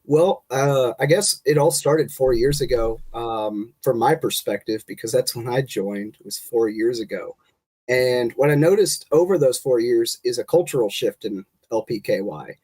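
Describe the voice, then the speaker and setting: Guest: congested man, mid-pitched, talking casually about his background. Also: unhurried, slightly rough. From Kentucky. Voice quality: nasal from congestion, relaxed rambling delivery. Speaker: guest, state party chair. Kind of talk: casual podcast interview.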